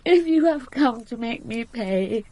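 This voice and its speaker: Slow, broken, remorseful woman. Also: on the high side, lively intonation, dark tone, poor audio. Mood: defeated, bitter. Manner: halting, repeating herself. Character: broken, remorseful young woman confessing to a killing. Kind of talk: radio drama acting.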